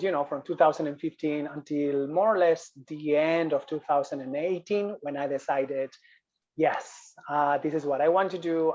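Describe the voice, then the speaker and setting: A man's voice: a little high, darkish tone, highs cut off. A man from Spain with a Spanish accent, a yoga business coach telling his story as a guest over a video call, often repeating and restarting phrases.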